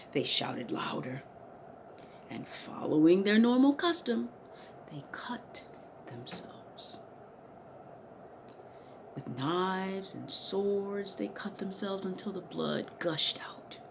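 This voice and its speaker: A woman narrating a Bible story as a theatrical, emphatic dramatic reading, moving between hushed and loud delivery. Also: on the low side, vocal fry, background noise. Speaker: dramatic reader.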